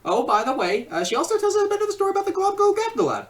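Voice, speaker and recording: A woman giving a casual, rambling, excitable comedic film review. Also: very high-pitched, very fast, recorded from a distance.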